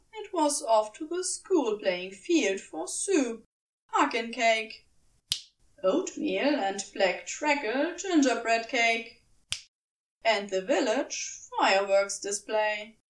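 High-voiced woman narrating a story, unhurried. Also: lively intonation, far from the mic, low-quality recording. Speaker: fan fiction audiobook reader.

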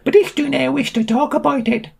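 A man voicing a manic, shifty female milk seller in a shrill, shrieking falsetto for a comic story. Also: steady tone, a little fast, gravelly, exaggerated voice. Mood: angry. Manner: mixes French, German and Scots words.

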